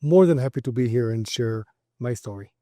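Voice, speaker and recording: A man's voice: medium pitch, animated, fairly quick, warm tone, dry recording, studio quality. A middle-aged man with an Israeli accent, giving explanatory answers as an expert in an interview. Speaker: company founder and credit expert interviewee.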